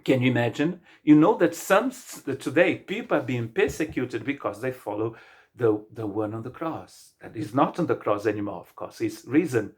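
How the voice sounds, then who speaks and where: A middle-aged man from Brazil with a Brazilian Portuguese accent, a native Portuguese speaker, preaching a sermon as pastor in an earnest, halting way with many restarts. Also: mid-range voice, expressive, breathy edge. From Brazil, state of Rio de Janeiro.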